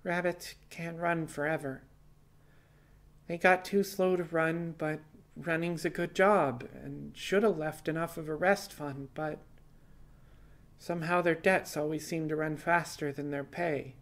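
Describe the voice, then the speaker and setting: A man's voice: fairly high pitch, fairly even intonation, measured pace, a bit bright, voice pushed up. A man voicing a young female rabbit fugitive in audio fiction, resigned and apologetic, talking in a plainspoken, hesitant, confessional way. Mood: sorrowful. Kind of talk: audio fiction character dialogue.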